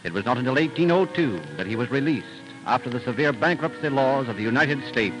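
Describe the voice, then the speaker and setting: A man's voice: medium pitch, expressive, fairly quick, music in the background, rough recording. A man narrating a scripted 1930s radio show as its announcer, in a dramatic, emphatic style on an old recording.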